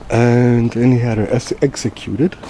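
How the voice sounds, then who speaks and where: Slow, hesitant German man. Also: mid-range voice, steady tone, dark tone, slight background noise, rough recording. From Germany (Bamberg, Franconia). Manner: hesitant, many ums and pauses. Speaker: travel vlogger. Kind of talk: casual guided commentary. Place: inside a church.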